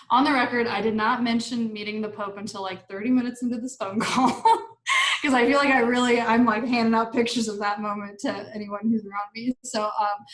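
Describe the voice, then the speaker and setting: A woman's voice: on the high side, reverberant. A young woman from Argentina talks casually in an interview, with lots of likes, restarts and laughs. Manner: chatty, many likes and restarts, laughs often. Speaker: staff writer and interview guest.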